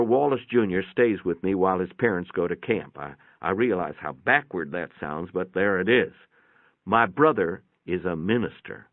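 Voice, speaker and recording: A man's voice: on the low side, dry recording, narrow, tinny audio. A man narrating a first-person short story in a dramatic reading, with a conversational, folksy storytelling manner. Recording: thin, band-limited, radio-like sound.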